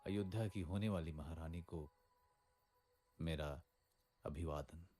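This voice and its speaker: A man playing a gentle, devoted young prince and husband in a mythological cartoon, speaking tenderly and solemnly. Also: low voice, a little slow, soft background music. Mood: tender, teasing.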